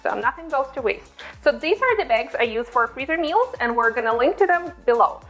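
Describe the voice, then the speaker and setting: A bubbly woman talks through a cooking tutorial, her voice sitting fairly high. She speaks on a clip-on mic in a home kitchen. Light music plays softly underneath. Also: very animated, fairly quick. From Ukraine. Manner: bubbly and chatty. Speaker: cooking channel host. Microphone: clip-on lapel mic.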